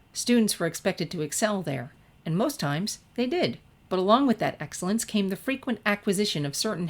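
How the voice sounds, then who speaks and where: A woman reading a story aloud in a scripted narration style. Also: on the low side. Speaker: fan fiction reader.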